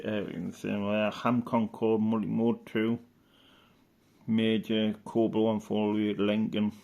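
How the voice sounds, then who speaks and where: A man's voice: fairly low pitch, vocal fry, a little mellow. A man giving casual, rambling commentary as he reads through an old catalogue, hesitant and thinking aloud. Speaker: video presenter.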